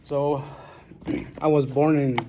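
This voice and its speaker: Speaker at a recovery meeting: a Guatemalan-accented man in his forties, mellow tone, slight room hiss behind him. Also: a little high, little pitch movement, muffled recording. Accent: Guatemalan Spanish. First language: Spanish. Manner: halting, plain-spoken, restarts often. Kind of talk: personal recovery story.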